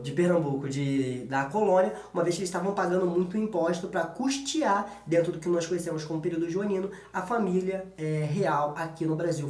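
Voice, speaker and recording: A man with a Brazilian accent giving an informal history exam-prep lesson as a teacher, colloquial and often checking in with short tag questions. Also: high voice. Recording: echoey room.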